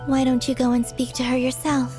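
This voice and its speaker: A woman playing a gentle, guarded moon goddess in a video game, speaking earnestly in a measured, soft way. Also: sits high, little pitch movement, music in the background, natural voice. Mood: guarded, questioning. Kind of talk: dramatic video game dialogue.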